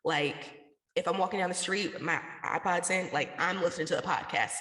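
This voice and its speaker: A woman's voice: lowish voice, fairly quick, fairly bright tone. A woman answering interview questions conversationally, candid and casual, explaining herself with lots of "like". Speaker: interview guest, podcaster and radio station founder. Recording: echoey room with long reverb.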